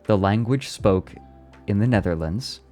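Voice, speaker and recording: A man's voice: lowish voice, animated, unhurried, a little mellow, soft background music. A young man chatting casually on a live stream, reading and answering his chat and laughing often. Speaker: VTuber streamer.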